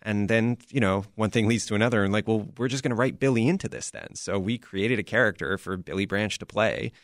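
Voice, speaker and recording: A man's voice: fairly low pitch, a little fast, no room sound, studio quality. A man speaking as a producer and interview guest, explaining things earnestly and emphatically in a conversational way. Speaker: audio drama founder and producer, interview guest.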